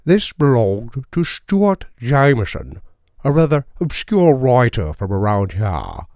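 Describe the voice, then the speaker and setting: A man voicing a gaunt, courteous old bookstore owner with a strong, crisp voice and a hard-to-place accent, speaking slowly and formally. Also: mid-range voice, very animated, darkish tone, no echo, studio quality. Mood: courteous, eerie. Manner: slow, measured, formally polite.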